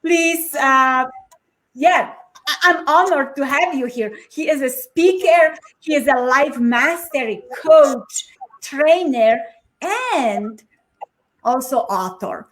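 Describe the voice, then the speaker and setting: A woman's voice: sits high, lively intonation, takes it slowly, poor audio. A woman hosting a live interview show, welcoming her guest with gushing enthusiasm. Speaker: host.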